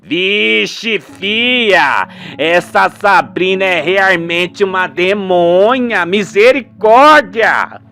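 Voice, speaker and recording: A man's voice: high voice, drawn-out words, pushed and bright, full character voice. A man voicing a worried rustic father in a radio soap, folksy and exclamatory, fearful and protective of his daughter, with music behind. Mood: shocked. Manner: folksy rural speech, exclamatory.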